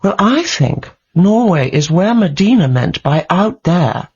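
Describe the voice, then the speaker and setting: An actress playing a shrewd, protective wife in a radio drama, probing and coaxing with quick wit. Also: female voice, lowish voice, expressive, darkish tone, slightly rough, muffled recording, exaggerated voice. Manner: probing, soothing, quick-witted.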